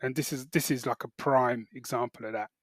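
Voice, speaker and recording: A middle-aged British man chatting casually as a podcast co-host, halting and correcting himself as he goes. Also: mid-range voice, fairly even intonation, no echo. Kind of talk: casual chat, geek reviews.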